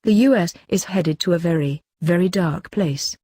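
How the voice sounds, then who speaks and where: Low, mellow female narrator. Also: normal speed, slightly rough, dry recording, studio quality. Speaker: narrator reading forum posts. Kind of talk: even read-aloud narration.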